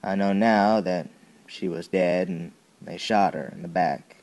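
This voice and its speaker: A man playing a young Southern soldier in an audio drama, giving a plain-spoken, folksy, halting account full of bitterness and grief. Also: low-pitched, darkish tone. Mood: shaken recounting. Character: young Southern soldier recounting a childhood atrocity, bitter and grieving. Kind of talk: dramatic first-person monologue, audio drama.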